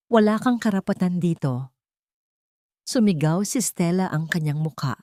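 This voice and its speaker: A woman's voice: low voice, mellow, no echo, clean studio sound. A woman reading a scripted, dramatic story as its narrator.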